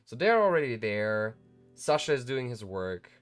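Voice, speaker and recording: A man's voice: mid-range voice, very animated, moderate pace, soft background music. A tired man hosting a casual live tutorial, thinking aloud in a rambling, self-deprecating way. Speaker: livestream host.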